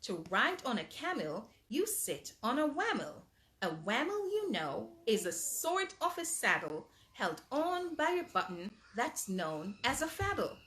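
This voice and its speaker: A woman reading a children's bedtime story aloud in an expressive, emphatic storybook manner, with asides to young listeners. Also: on the high side, unhurried.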